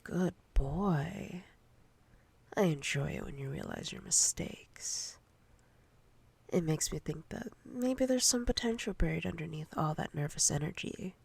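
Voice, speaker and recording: Woman acting as controlling female boss, low-pitched, very breathy husky whisper, slow deliberate delivery, seductive teasing, ASMR roleplay. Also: big pitch swings, a little mellow, heavily acted.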